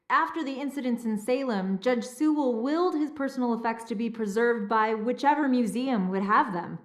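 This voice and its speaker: A high female voice in a reverberant room, an actress as a scholarly castle historian in an adventure game. She explains things precisely and earnestly, with a slightly flustered, nervous edge. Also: close to an everyday voice. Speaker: historian and relic keeper. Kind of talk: video game dialogue, expository.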